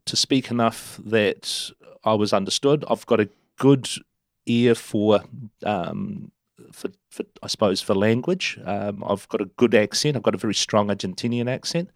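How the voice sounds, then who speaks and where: Middle-aged New Zealand man, low voice, dry close sound; easygoing storyteller who restarts a lot. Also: normal speed, no echo. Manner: chatty, self-deprecating, many restarts. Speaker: interview guest. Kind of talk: relaxed storytelling.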